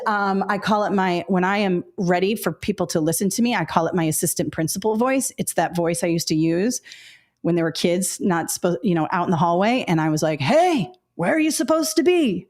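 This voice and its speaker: A woman in her forties leads a webinar for teachers with a loud, candid voice. She talks in a chatty, animated way. Her pitch sits in the middle of the range. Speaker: webinar presenter, former teacher. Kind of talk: casual webinar talk to teachers.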